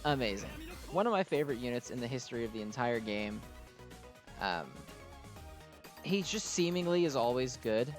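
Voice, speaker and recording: A man with a mid-pitched voice gives casual commentary as a gaming host over audible music. He talks in a gushing, exclamatory way and peppers his sentences with "like.". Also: expressive, moderate pace, long pauses.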